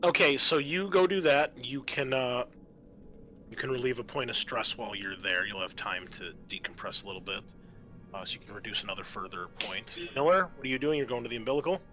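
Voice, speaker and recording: A man acting as game master of a tabletop roleplaying game, talking in a halting, conversational and accommodating way. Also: mid-range voice, pushed and bright, faint music behind.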